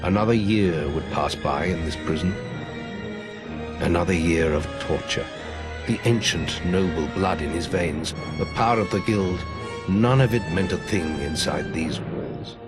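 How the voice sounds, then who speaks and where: An actor playing a grave fantasy storyteller, giving portentous scripted narration for a video game. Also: male voice, low voice, unhurried, over loud music. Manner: solemn, portentous.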